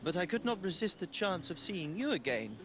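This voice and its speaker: An actor playing a genial, genteel company gentleman who talks effusively like an old friend. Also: high voice, sing-song, fairly quick, a crowd in the background. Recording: noisy outdoor street ambience.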